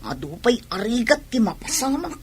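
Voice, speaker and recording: A woman's voice: fairly high pitch, big pitch swings, normal speed. A woman playing a sullen, weary young pregnant wife in an Ilocano radio drama, resentful and bitter. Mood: bitter, complaining.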